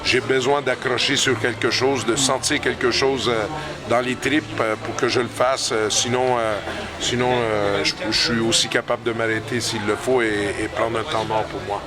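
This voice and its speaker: A middle-aged hockey coach, answering post-game interview questions slowly, with many pauses and restarts. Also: male voice, mid-range voice, flat delivery. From Canada. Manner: hesitant, many fillers and restarts. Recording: loud crowd chatter behind.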